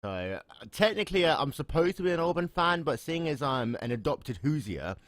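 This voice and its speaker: A man's voice: a little high, sing-song, brisk, fairly bright tone, dry recording. A young man from England with an English accent, co-hosting a podcast in crude, joking casual chat. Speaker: podcast co-host.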